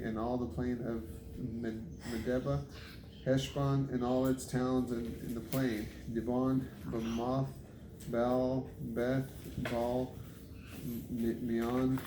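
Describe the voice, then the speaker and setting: A man reading scripture aloud as a Bible reader, haltingly, stumbling over unfamiliar names. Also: medium pitch. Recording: echoey room with clear background noise.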